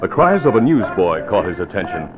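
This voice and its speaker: Old time radio announcer guy, lively up and down delivery, lowish voice, tinny vintage sound. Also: a little fast, over loud music. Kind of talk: 1940s radio scripted narration and sponsor reading. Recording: muffled, phone-like old radio recording.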